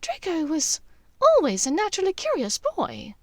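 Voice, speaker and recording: A woman voicing a well-bred lady, genteel, smooth and slightly waspish, guarded and polite, in a storybook reading. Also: very high voice, wildly swooping pitch, normal speed, no echo, pitched above the performer's own voice, heavily acted.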